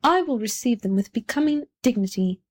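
A vain firework Rocket from a storybook, voiced by a woman reader. He is pompous and supercilious, speaking in a fairly high voice. Every line is self-important and quick to take offence. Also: animated, darkish tone.

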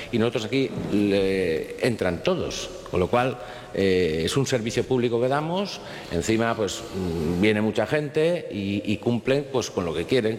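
A man with an accent from Spain, speaking in a halting, conversational way in an informal interview. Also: low voice. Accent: Spanish from Spain. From Valencia region, Spain. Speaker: guest, public cultural centre manager. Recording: reverberant hall with faint noise.

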